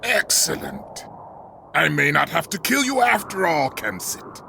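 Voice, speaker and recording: Brooding monster warlord, game villain, creaky voice, dramatic swings in pitch. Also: male voice, big pitch swings, unhurried, bright, forceful tone, slight background noise, heavily acted. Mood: menacing. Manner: menacing, theatrical. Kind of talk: video game cutscene acting.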